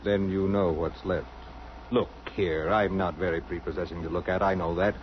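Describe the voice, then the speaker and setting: An actor playing a gentle, self-effacing island doctor in old-time radio drama style, courteous, soothing and a little hesitant. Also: male voice, sits low, fairly even intonation, moderate pace, lightly acted. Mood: wry, persuasive. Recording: muffled, noisy old radio recording.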